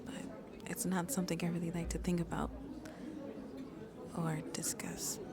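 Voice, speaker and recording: A woman playing a shy, gentle century-old vampire who seems in her twenties, soft-spoken and hesitant in an ASMR roleplay, often dropping to a near-whisper. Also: on the low side, little pitch movement, a loud crowd, lightly acted. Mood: confiding. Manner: hesitant, apologetic, halting, breathy near-whispers.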